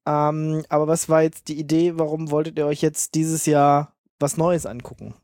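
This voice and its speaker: A man hosting a casual podcast chat who briskly steers the conversation back on track. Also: on the high side, little pitch movement, a little mellow, no echo, studio quality.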